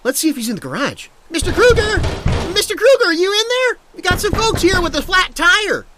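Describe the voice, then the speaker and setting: An eager small-town boy, folksy and polite in a radio serial. The voice is high and leaps all over the scale, with faint noise behind it. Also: brisk. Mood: calling out. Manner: folksy, deferential, excitable. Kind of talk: radio comedy mystery acting.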